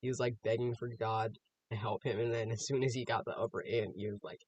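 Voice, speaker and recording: A man's voice: medium pitch, steady tone, fast, a little mellow, slightly rough, no echo. A man giving casual, off-the-cuff reaction commentary, musing aloud and posing rhetorical questions. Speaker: reaction video host.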